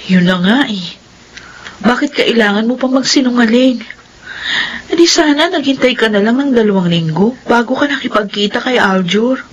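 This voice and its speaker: A woman playing a frank, expressive young novice and loyal friend in a Filipino radio drama. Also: fairly high pitch, moderate pace, highs cut off, a little hiss or hum, lightly acted. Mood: scolding, incredulous. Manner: blunt, questioning, expressive.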